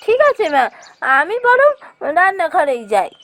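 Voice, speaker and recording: A woman's voice: very high-pitched, sing-song, a little slow, a bit bright, no room sound, heavily acted. A woman voicing a caring, fretful village wife and housemaid in a cartoon, with a deferential manner. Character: caring, worried village wife working as a maid. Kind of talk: cartoon voice acting.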